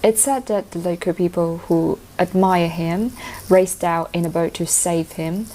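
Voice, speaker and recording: Low-voiced woman, cantonese first language, chatty informal english answers. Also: steady tone, unhurried, dark tone, a little hiss or hum, poor audio. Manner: fluent, informal, uses slang. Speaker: IELTS speaking candidate. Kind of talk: answering interview questions.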